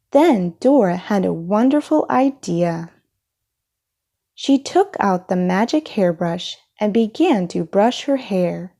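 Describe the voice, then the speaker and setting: Unhurried, mellow woman reading a storybook. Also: medium pitch. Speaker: storybook reader. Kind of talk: read-aloud children's story narration.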